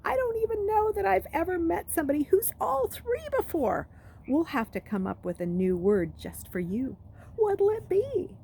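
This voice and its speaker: A woman's voice: very high-pitched, sing-song, normal speed, vocal fry, dark tone, slight background noise, pitched above the performer's own voice, exaggerated voice. A woman reading a storybook aloud, playing a scornful boy ringleader who taunts and jeers. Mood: gleeful scorn. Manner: taunting, jeering. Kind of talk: storybook read-aloud character voice.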